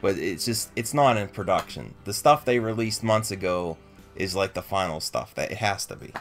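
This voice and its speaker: A man's voice: low-pitched, lively intonation, moderate pace, soft background music. A man co-hosting a toy show in casual, informal conversation. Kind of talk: casual toy review chat.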